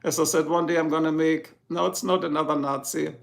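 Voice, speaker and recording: Gravelly German-accented man, chatty streamer. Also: on the high side, steady tone, a little fast. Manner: chatty, answers viewers one by one, chuckles often. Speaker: livestream host. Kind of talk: casual livestream chat reading comments.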